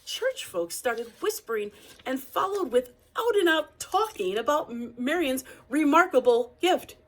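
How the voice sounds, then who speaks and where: A woman reading a picture book aloud as narrator, with deliberate, expressive phrasing. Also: sits high. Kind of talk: read-aloud storybook narration.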